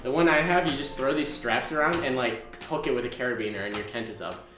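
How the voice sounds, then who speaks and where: A man's voice: mid-range voice, quick pace, a bit bright, roomy sound. A man of twenty chatting casually as a podcast co-host, rambling through a story with lots of fillers. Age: 20s. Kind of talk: casual chat.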